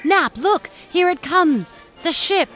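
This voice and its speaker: An actress playing a cheerful young fiancée in a 1930s-set audio drama, playful, reassuring and affectionately chiding. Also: female voice, extremely high pitch, animated, slow, a bit bright, heavily acted. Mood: happy, bright. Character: cheerful, teasing young bride-to-be in 1937. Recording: music under the voice.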